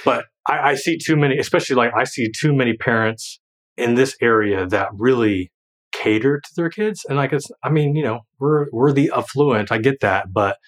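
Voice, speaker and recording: A man's voice: medium pitch, moderate pace. A man in his thirties talking casually in a podcast conversation, rambling with many fillers and restarts and laughing often. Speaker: guest, former financial planner and creator.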